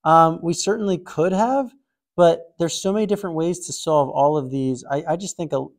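A man hosting a coding tutorial, talking through a puzzle in a relaxed, thinking-aloud way with frequent fillers and restarts. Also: fairly high pitch, normal speed. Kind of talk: casual screen-recorded walkthrough.

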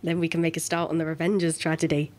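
A woman's voice: low voice, little pitch movement, fast talker, darkish tone, lightly acted. An actress playing a demanding, insecure young woman who wants reassurance, in a naturalistic audio drama. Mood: bossy. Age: young adult. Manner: insistent, needy.

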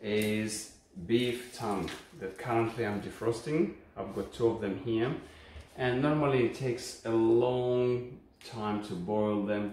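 A man's voice: lowish voice, darkish tone. A man with a Ukrainian accent hosting a casual, unscripted product review in a home kitchen, explaining things in a friendly way with many hesitations, filmed on a phone camera from a distance. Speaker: channel host reviewing a kitchen appliance. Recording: echoey kitchen with faint hum.

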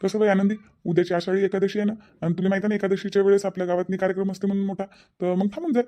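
A woman voicing a gentle, concerned village father in a family drama, with a Varhadi Marathi accent and a fussing, coaxing way of repeating himself. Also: medium pitch, steady tone, rapid-fire, lightly acted. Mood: coaxing, reassuring. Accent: Varhadi Marathi from Vidarbha. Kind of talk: amateur family drama acting.

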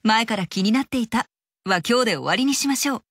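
A woman announcer reading scripted advert narration in a measured, clearly articulated way. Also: a little high, expressive, a bit bright, no echo, studio quality. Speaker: commercial announcer for a law office.

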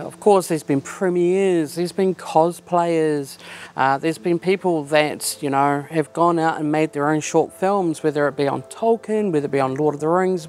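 A man hosting a fan video, talking casually to camera with lots of fillers. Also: a little high. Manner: relaxed, filler-heavy talk. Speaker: host.

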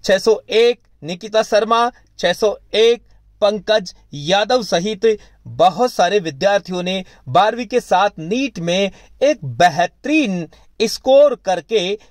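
A man's voice: high voice, no room sound, clean studio sound. A man reading a persuasive, emphatic promotional voice-over for a school. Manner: emphatic, persuasive sales delivery. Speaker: advertisement narrator.

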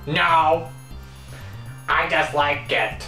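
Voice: medium pitch, extremely slow, roomy sound, distant mic, over music, voice pushed up. Someone doing an eager, boastful boyish science nerd. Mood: excited. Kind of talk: amateur fan-fiction story reading.

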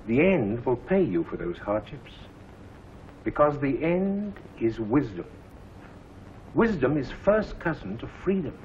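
An actor playing a solemn, earnest college head, speaking and praying in measured old-film oratory. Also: male voice, medium pitch, a little hiss or hum. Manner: slow, measured, declamatory. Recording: tinny old film soundtrack with music.

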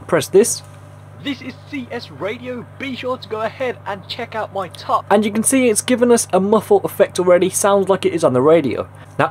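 A young man with a high, somewhat husky voice narrates a screen-recorded tutorial. He speaks informally, walking through each step as the host of the video. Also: a little mellow. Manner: informal, instructional.

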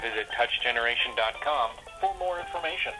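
A man's voice: mid-range voice, expressive, a little fast, low-quality recording. A man reading a scripted promotional on-hold message in an upbeat ad style. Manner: upbeat ad read. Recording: hold recording over phone with music.

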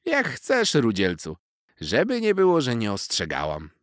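A man's voice: medium pitch, wildly swooping pitch, measured pace, fairly bright tone, clean tone, no room sound, studio quality, pitched above the performer's own voice. A man voicing a small know-it-all female squirrel from a children's story, teasing and scolding with lots of emphasis. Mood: mocking, warning.

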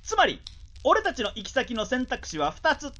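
A woman acting a brash, talkative samurai scout in a radio drama, reeling off war news in rough, theatrical storytelling patter. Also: sits high, wildly swooping pitch, normal speed, pushed and bright, heavily acted. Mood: brisk.

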